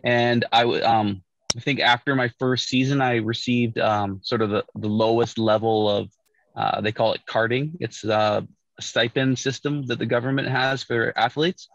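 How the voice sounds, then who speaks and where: A man's voice: medium pitch, moderate pace. A middle-aged man from Saskatchewan, Canada, telling his story casually on a video call from inside a parked car, with a lot of restarts and fillers. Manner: relaxed, with many restarts and fillers. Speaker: Olympic bobsledder guest. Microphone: video call, laptop or phone camera. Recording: muffled dry video-call audio.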